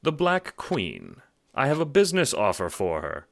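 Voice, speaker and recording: A man's voice: medium pitch, wildly swooping pitch, normal speed, vocal fry, voice pushed up. A man voicing a mild, condescending puppet master who speaks with formal politeness. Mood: smug, polite. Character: Scratch, mild smug cue-ball-headed male puppet master.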